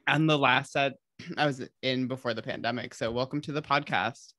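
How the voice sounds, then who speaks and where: A woman's voice: extremely low pitch, steady tone, normal speed, a bit bright, no room sound, studio quality. A woman hosting a podcast, chatting in an upbeat way that leans heavily on fillers. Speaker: podcast host. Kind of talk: casual chat.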